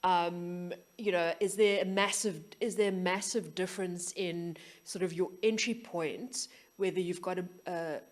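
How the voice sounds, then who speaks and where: A woman's voice: on the low side, steady tone, a little slow. A woman moderating a panel event, polite and hesitant, relaying questions to the guests.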